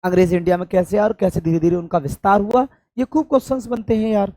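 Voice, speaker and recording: A hoarse man from India, teaching an exam-prep lesson and stressing points by repeating them. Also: high voice, quick pace, dark tone, no echo. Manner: addresses students affectionately, emphatic repetition. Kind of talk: classroom-style exam coaching lecture.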